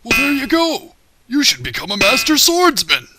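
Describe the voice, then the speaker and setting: A man's voice: very high voice, wildly swooping pitch, moderate pace, loud music behind the voice, exaggerated voice. A man voicing a senile old blacksmith who gives folksy, rambling advice in a comedic cartoon parody dub. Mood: matter-of-fact advising. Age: older.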